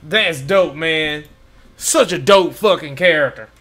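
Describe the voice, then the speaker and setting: A young man in a home room giving casual, excited reaction commentary on a game, repeating phrases and stumbling over names. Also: on the high side, takes it slowly. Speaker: gaming reaction YouTuber. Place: home room with gaming chair.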